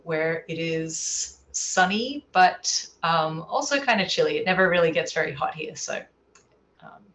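A woman's voice: sits low, fairly bright tone, a little hiss or hum. A woman from Australia with an Australian accent touched by American, chatting casually as an easygoing interview guest from home. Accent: Australian with American influence. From Adelaide, Australia. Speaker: podcast guest, scientist. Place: at home, remote call.

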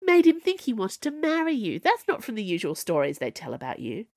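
A woman reading the part of a proud, hot-tempered young princess who speaks high and indignant. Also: wildly swooping pitch, a little fast, darkish tone, no room sound, clean studio sound, full character voice. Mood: accusing.

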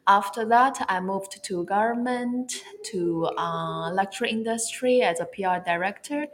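A woman from China with a Chinese accent, speaking English as a second language, talking as an interview guest in a halting way, often searching for words. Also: mid-range voice, a little hiss or hum. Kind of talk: casual interview talk.

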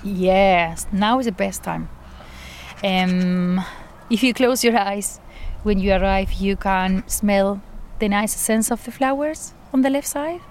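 A woman's voice: medium pitch, slow pace, slight background noise. A woman from Argentina with an Argentine Spanish accent, a garden coordinator telling her story in an outdoor interview, earnestly and with light laughs. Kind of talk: interview, personal account.

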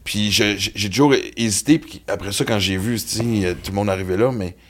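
A man hosts a casual interview. He speaks quickly in a slightly low voice, rambling enthusiastically through his questions. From born in Quebec, raised in western Canada. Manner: rambling, enthusiastic, many fillers. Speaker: podcast host.

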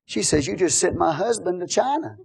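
A middle-aged man preaching a sermon as pastor, telling jokes and swinging from quiet asides to loud exhortation. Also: high-pitched, animated, a little fast, gravelly, slightly rough.

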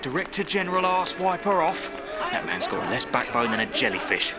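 An actor playing a bitter, defiant construction worker, grumbling with sarcasm in a naturalistic audio drama. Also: male voice, fairly high pitch, very animated, a little fast. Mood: angry, scornful. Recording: loud music and crowd behind.

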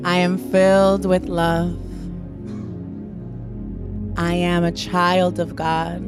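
A woman leading a guided prayer meditation in a slow, soothing, calm manner. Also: fairly low pitch. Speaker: meditation leader. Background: new-age music.

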